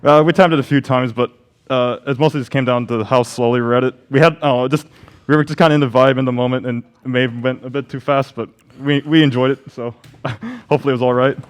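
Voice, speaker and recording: A young man talking rapidly at a middle pitch in casual interview chat. He comes across as easygoing and jokey, tossing out answers as a student radio guest. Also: steady tone, clean tone. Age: young adult. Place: radio studio. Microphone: studio microphone.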